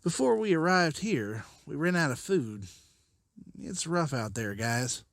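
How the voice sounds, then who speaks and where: A man playing a weary, earnest leader of survivors, serious and halting, in a comic drama. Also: fairly high pitch, very animated, creaky, no echo, clean studio sound, heavily acted. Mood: grim, weary.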